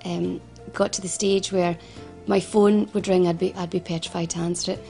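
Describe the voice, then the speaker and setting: Scottish woman from glasgow, 40s, earnest interview talk, lots of restarts, pretty monotone, faint music behind. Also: on the low side, normal speed, vocal fry. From Scotland. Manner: earnest, frequent restarts and repetitions. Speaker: founder of a financial advice service. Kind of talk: personal interview testimony.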